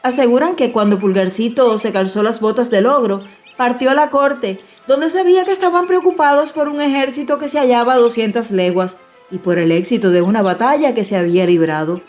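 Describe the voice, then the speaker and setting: A woman narrates a children's fairy tale in a fairly high voice. Her delivery is scripted audiobook storytelling, with soft music playing underneath. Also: lively intonation, a little fast.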